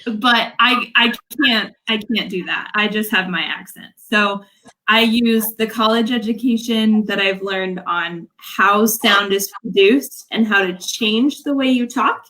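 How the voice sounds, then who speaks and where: A woman's voice: mid-range voice, a little slow, pushed and bright, poor audio. A young woman from Utah, a language coach, explaining her work earnestly in a casual livestream interview, often starting with "so" and "um". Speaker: English language coach, guest.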